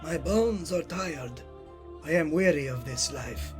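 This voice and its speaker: A man's voice: a little high, lively intonation, slow pace. A man playing a frail, dying old Italian master painter in an audio drama, weary, wry and theatrical. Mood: weary, tender. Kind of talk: scripted audio drama dialogue. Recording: music behind the voice.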